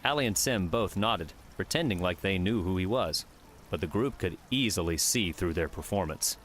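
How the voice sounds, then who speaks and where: A man's voice: low voice, lively intonation, normal speed, fairly bright tone, a little hiss or hum. A man reading scripted fiction narration as an audiobook narrator.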